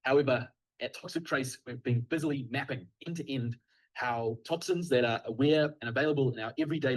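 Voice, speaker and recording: A man with a New Zealand accent presenting his team's project, speaking slowly and earnestly. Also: medium pitch, fairly bright tone, slightly rough, low-quality recording. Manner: deliberately slow, earnest. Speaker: team presenter.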